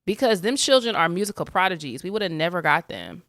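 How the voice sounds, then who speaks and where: A young adult woman co-hosting a podcast, trading gossip in an animated, agreeable way. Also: lowish voice, quick pace. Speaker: podcast co-host. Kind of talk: casual gossipy chat.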